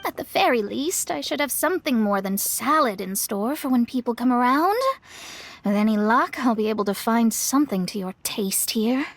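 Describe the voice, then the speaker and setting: Young game astrologer, sure of herself but sincere, high lively voice. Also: female voice, moderate pace. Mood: sheepish. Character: proud but earnest young female astrologer. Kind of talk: animated video game acting.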